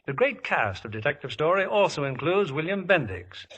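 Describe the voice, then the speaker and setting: A male host of 1950s radio. His voice is fairly high and bright, with a forceful, polished delivery of scripted lines. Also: very animated, moderate pace.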